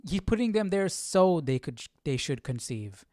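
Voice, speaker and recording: A man's voice: fairly high pitch, sing-song, moderate pace, a little mellow, no room sound, faint music behind. A man hosting a casual Bible study livestream, reading aloud and musing over it with informal questions. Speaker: livestream host.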